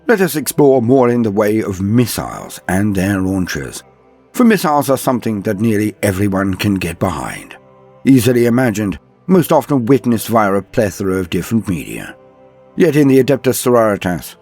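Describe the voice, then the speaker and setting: A man delivering dramatic scripted narration as a host, solemn, theatrical and grandiose, with a husky voice. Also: lowish voice, darkish tone. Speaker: host and narrator of a lore show.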